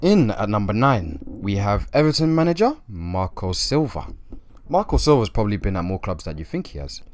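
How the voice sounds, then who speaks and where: Low-voiced young man ranking football managers. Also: very animated, normal speed. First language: English. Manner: opinionated, chatty asides. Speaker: football video host. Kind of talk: scripted countdown commentary.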